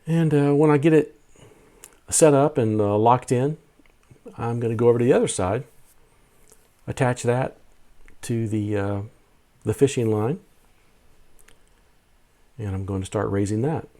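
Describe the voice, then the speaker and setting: A man's voice: mid-range voice, measured pace, long pauses, warm tone. A man narrating a casual hobby vlog, explaining things step by step in a plain-spoken way. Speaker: amateur radio video host.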